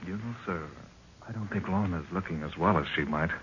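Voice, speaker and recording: Young gallant hero, forceful tone, lively inflection, polite, protective, vintage radio drama, faint noise. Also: male voice, deep voice, a little fast. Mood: concerned. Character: young man, gallant, protective, respectful adventurer. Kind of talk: old-time radio drama acting. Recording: dull, noisy vintage radio recording.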